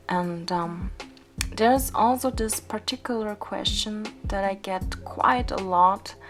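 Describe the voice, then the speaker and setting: A woman from Austria, a native German speaker, rambling casually in a craft vlog voice-over. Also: medium pitch. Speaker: craft vlogger. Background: background music.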